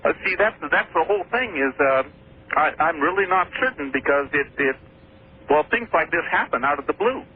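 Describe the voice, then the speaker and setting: A man's voice: on the high side, expressive, fairly quick. A man from Washington State calling into a radio show, hesitant and repeating his words. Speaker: caller. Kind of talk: radio call-in story. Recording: tinny phone line with faint noise.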